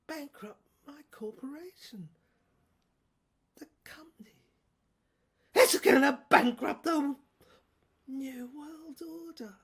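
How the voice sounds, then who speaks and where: A woman playing an agitated, despairing corporate official in an audio drama, strained and volatile, lurching between hushed and loud. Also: sits high, voice pushed up. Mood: despairing, anguished.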